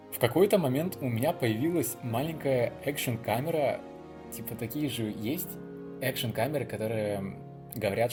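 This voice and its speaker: A man's voice: medium pitch, mellow. Young man rambling casually over music. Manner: chatty, rambling, thinking aloud. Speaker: video blogger hosting his channel. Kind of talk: casual unscripted storytelling to viewers.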